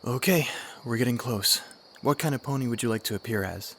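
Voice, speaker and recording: A man's voice: medium pitch, brisk, fairly bright tone, soft background music, natural voice. A man playing an earnest, eager young unicorn student of magic in a fan radio drama. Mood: friendly, explaining. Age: young adult.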